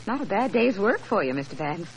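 A woman's voice: medium pitch, very animated, brisk. An American woman playing an eager, admiring and polite secretary in a 1940s radio detective drama. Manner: deferential, inquisitive. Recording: noisy old 1940s radio recording.

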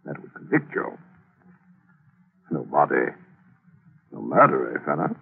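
Low gravelly villain, teasing menace, lively swings, old radio sound. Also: male voice, exaggerated voice. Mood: smug menace. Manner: smug, teasing, slow menace. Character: sly menacing male killer, peanut-cracking, mocking. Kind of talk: 1940s radio acting. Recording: tinny old radio broadcast.

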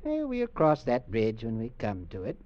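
An actor playing a cold, domineering, scheming uncle with a curt, stern manner in mid-century radio drama style. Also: male voice, mid-range voice, wildly swooping pitch, moderate pace, darkish tone. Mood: cool, calculating. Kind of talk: 1940s-50s radio drama acting. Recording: muffled old radio recording.

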